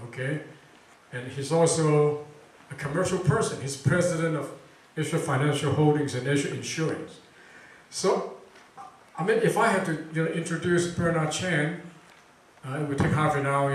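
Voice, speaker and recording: A man hosting a talk event, chatting casually and quizzing the audience with lots of fillers. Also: on the high side. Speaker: event host introducing a speaker. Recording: very echoey room recording from far off.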